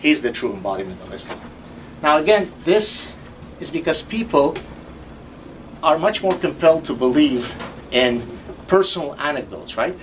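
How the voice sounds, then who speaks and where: A man's voice: on the high side, moderate pace, long pauses, recorded from a distance, a little hiss or hum, rough recording. Man lecturing with sarcasm. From Lebanon. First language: Arabic. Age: 50s. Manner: animated, sarcastic, mocks opponents in quoted voices. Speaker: host. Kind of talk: lecture-style commentary.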